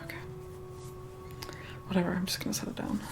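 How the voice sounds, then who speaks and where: A woman playing an aloof, reluctantly caring vampire woman in an ASMR roleplay, soft-spoken and breathy, often whispering, slow and condescending. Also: lowish voice, very animated. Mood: bossy, aloof. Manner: slow, condescending, grudgingly kind. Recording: loud music behind the voice. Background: music.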